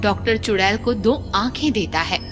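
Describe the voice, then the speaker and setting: A woman's voice: mid-range voice, moderate pace. A woman narrating a cartoon horror story in a scripted, dramatic way. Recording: loud music bed.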